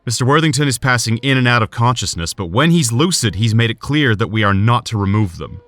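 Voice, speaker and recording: An actor playing a serious, cautious hospital surgeon in an audio drama, speaking in a measured, formal way. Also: male voice, mid-range voice, animated, a bit bright, close to an everyday voice. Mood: grave, measured.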